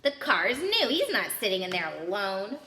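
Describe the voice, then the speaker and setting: A woman voicing a stern, bullying middle-aged uncle in a storybook, slow, emphatic and threatening. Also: medium pitch, sing-song, sharp tone. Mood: indignant. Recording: echoey room with background noise.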